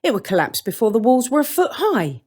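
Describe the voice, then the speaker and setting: A woman voicing a curious storybook boy with a practical streak who questions things earnestly. The voice is lifted and lively. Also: fairly high pitch, fairly quick, breathy edge, voice pushed up. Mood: skeptical.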